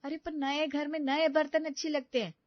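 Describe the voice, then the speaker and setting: A woman's voice: sits high, little pitch movement, moderate pace, no echo, highs cut off, natural voice. A woman playing a fed-up wife and mother in a family story, taunting and complaining with sarcasm. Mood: exasperated. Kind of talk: acted Hindi audio story dialogue.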